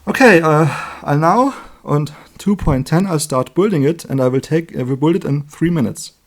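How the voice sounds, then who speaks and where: German-accented man, higher-pitched, chatty tutorial. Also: moderate pace. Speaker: electronics hobbyist demonstrating a circuit build. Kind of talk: informal hands-on tutorial, explaining while working.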